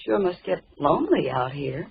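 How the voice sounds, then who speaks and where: An actress playing a bold, plain-spoken young woman in an old radio horror drama, slangy and brisk, with an American accent. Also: on the low side, sing-song, slight background noise. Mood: breezy, chatty. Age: young adult. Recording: dull vintage radio recording.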